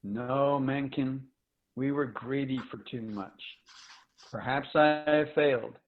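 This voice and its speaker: A man playing a tired, somber space commander in a dramatic reading, with measured, solemn delivery. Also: medium pitch, steady tone. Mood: somber resignation. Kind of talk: dramatic virtual play reading. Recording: thin phone-like video call audio.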